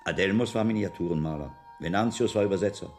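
A sober monk detective in a radio play, low deep voice, measured and probing. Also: male voice, darkish tone, soft background music. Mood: reasoned, insistent. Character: shrewd, sober monk investigator in medieval abbey. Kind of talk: radio drama acting.

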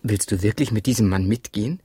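A man's voice: on the low side, quick pace, dark tone, slightly rough, no echo. An actor in a German radio drama playing an indignant, possessive man who firmly defends his household. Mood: reproachful. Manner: firm, protesting.

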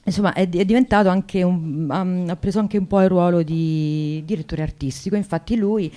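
A woman talking spontaneously on the radio about a protest, often halting and searching for words. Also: fairly low pitch, warm tone. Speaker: activist guest, singer.

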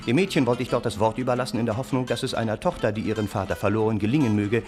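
An actor playing an earnest, indignant gentleman of old Russia, narrating a radio play in a literary, aggrieved way. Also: lowish voice, fairly even intonation, very fast, faint music behind, close to an everyday voice. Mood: earnest, troubled.

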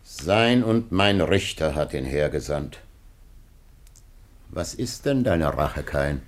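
A man's voice: lively intonation, heavily acted. An actor playing a dying old father, grave, sorrowful and loving, in solemn archaic radio play style. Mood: weary, pleading. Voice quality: husky, very deep. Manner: slow, solemn, archaic declamation. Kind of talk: German radio play acting.

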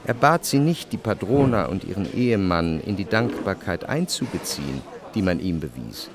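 A lowish-pitched, reflective literary narrator. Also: male voice, big pitch swings, moderate pace, background noise. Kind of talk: scripted literary narration in a radio drama.

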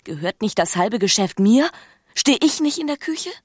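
An actress playing a bitter, passionate, scheming young wife in a naturalistic radio drama, speaking with urgent intimacy and slipping into whispers. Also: female voice, on the high side, very animated, normal speed, darkish tone, no room sound, studio quality. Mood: scornful, urgent.

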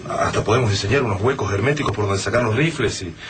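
A man's voice: on the low side, fast, close to an everyday voice. An actor with a Rioplatense Argentine accent playing a frightened male survivor in a radio drama, speaking tensely and earnestly. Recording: noisy radio-drama recording.

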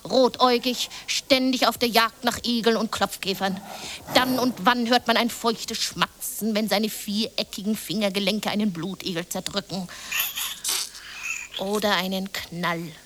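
An actress narrating a lurid jungle river expedition in a radio play, theatrical and often dropping into a hushed whisper. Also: female voice, mid-range voice, steady tone, a little slow, background noise, lightly acted. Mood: vivid, sardonic.

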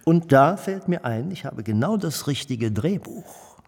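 A pompous, threatening Nazi minister in a radio drama. His fairly high voice leaps theatrically between hushed confiding and booming proclamation. It rings in a long hall reverb. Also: expressive, a little slow, background noise. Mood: mock-sentimental. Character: pompous, menacing male Nazi propaganda minister, effusive and threatening. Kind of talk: German radio play acting.

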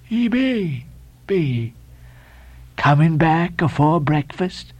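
A man voicing an uncanny, cracked old sailor-prophet in an audiobook, teasing and cryptic, with drawn-out repetitions in seaman's dialect. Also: fairly high pitch, very animated, a little mellow, no echo, heavily acted. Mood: eerie, needling.

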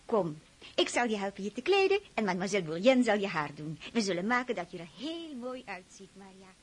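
An actress playing an excitable, gushing young noblewoman in a radio drama, coaxing and fussing over others. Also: medium pitch, fairly quick, husky.

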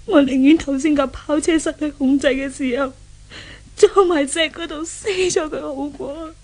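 A woman's voice: high voice, steady tone, normal speed, darkish tone. An actress in a Cantonese radio drama playing a frightened, indignant young woman held captive, anguished and melodramatic. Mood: despairing.

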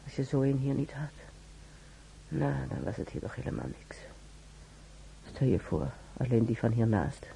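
A woman's voice: a bass voice, very animated, moderate pace, long pauses, mellow, faint music behind, exaggerated voice. A woman playing a talkative, wry old hospital patient and former maid in a radio drama, rambling colloquially and muttering to herself. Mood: wistful muttering. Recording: clearly noisy old radio recording.

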